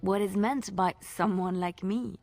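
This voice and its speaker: An actress with a French accent playing a guarded, gently evasive lay sister and former minstrel in a fantasy video game. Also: female voice, medium pitch, measured pace, gravelly, no room sound, faint music behind.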